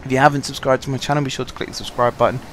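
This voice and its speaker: A man with a sore throat, slightly husky, casually commenting on a game through a headset, rambling and apologetic with many ums. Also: medium pitch, level tone, very fast, fairly bright tone, a little hiss or hum. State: sore throat, fighting coughs. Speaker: gaming channel host. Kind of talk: casual let's-play commentary.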